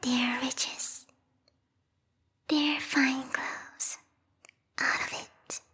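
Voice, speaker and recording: Breathy high whispering vampire bat girl, played by a woman. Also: flat delivery, bright, forceful tone, long reverb tail, heavily acted. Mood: gloating, conspiratorial. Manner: prim, courtly, slow and menacing. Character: yandere vampire bat girl, bloodthirsty, refined royal guard. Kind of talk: whispered ASMR roleplay. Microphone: close binaural microphone.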